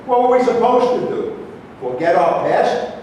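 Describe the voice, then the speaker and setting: Man acting an indignant Israeli, high-pitched, echoey. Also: very animated, unhurried, a little mellow. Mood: defensive, insistent. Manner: combative, emphatic, didactic. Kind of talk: staged play reading, debate-like dialogue. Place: hall at a staged reading. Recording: echoey hall, distant mic, faint noise.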